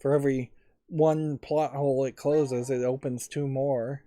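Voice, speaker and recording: Slow, mellow man musing over a game. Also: mid-range voice, fairly even intonation. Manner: musing, analytical. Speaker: let's play host. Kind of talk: casual game commentary.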